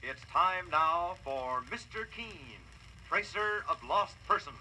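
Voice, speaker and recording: Radio mystery announcer, stately, unhurried, high sharp voice, old broadcast. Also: male voice, animated, heavily acted, rough recording. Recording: old radio recording, faint noise.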